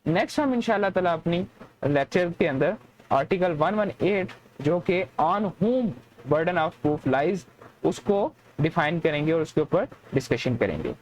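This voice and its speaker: A woman's voice: lowish voice, animated, unhurried, a little mellow, a little hiss or hum, poor audio. A woman with a Pakistani accent giving an explanatory law lecture in a didactic way, mixing in English terms and often answering her own questions. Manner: didactic, repeats points and poses questions then answers them.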